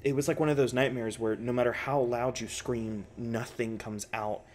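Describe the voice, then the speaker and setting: A man playing a shaken, traumatized researcher in an audio roleplay, speaking in a halting, stammering monologue. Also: medium pitch, fairly even intonation, a little mellow, slight background noise, lightly acted. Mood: haunted, distressed. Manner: halting, stammering, faltering delivery. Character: shaken, traumatized male researcher recounting torment. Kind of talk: ASMR roleplay acting, intimate monologue.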